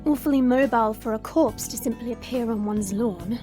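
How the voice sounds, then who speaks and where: A woman's voice: a little high, fairly quick, music in the background, close to an everyday voice. A woman playing a haughty, sarcastic nonhuman lady who looks down on humans, in an audio drama. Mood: sardonic. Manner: arch, disdainful, formal sarcasm.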